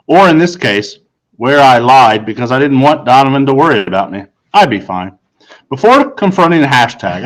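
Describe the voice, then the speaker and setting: A man narrates a story in the first person, reading from a script as an audiobook reader. His voice sits at a middle pitch for a man. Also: a bit bright, low-quality recording.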